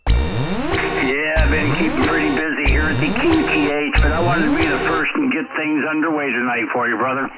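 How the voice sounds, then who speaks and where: A man's voice: a little high, huge pitch swings, normal speed, over loud music. An American man calling in over two-way amateur radio, chatting casually with the operator on the other end. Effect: two-way radio transmission. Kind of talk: casual radio contact chat. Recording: narrow, noisy shortwave ham radio signal.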